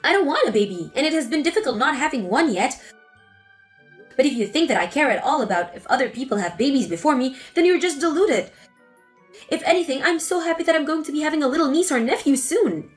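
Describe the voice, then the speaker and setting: A woman's voice: high-pitched, animated, fast talker, soft background music. A woman voicing an angry adult daughter confronting her mother in a scripted text-story drama. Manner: accusing, exasperated.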